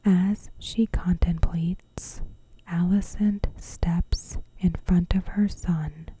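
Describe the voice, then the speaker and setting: A woman narrating a recap in ASMR style, in a hushed, breathy whisper with measured delivery. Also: on the low side, poor audio. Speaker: ASMR video host.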